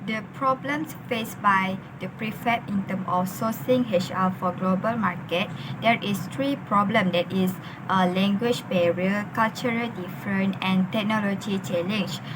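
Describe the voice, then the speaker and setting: Young Malaysian woman, student presenting a class report, halting with frequent fillers, mid pitch, audible background noise. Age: young adult.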